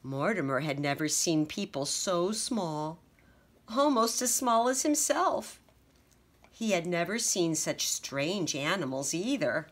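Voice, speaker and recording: Slow, expressive woman reading a picture book. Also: fairly low pitch. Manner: expressive, gentle storytelling. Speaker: teacher reading aloud. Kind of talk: children's picture book read-aloud.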